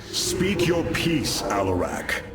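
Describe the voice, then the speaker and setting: A noble alien commander in a game cinematic. His mid-pitched voice moves widely in tone, formal and full of solemn resolve, over loud music. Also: very animated, slow. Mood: stern. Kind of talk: video game cinematic acting.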